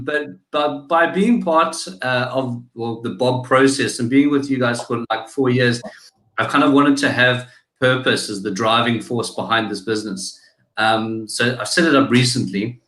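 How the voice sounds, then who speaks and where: A man's voice: mid-range voice, a little slow, a bit bright. A man in his thirties with a South African accent, a guest speaking earnestly and analytically on a video call, with many fillers and restarts. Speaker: guest, marketing agency founder. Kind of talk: casual interview over video call. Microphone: video call webcam. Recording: roomy echo on call audio.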